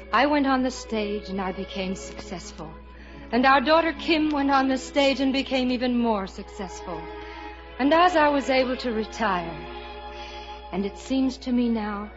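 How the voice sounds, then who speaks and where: A woman's voice: medium pitch, lightly acted. Wistful radio-drama heroine played by a woman, music behind. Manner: earnest, dramatic, tender. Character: wistful, devoted wife looking back on lost love. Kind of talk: 1950s radio drama narration and acting. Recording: muffled vintage radio recording.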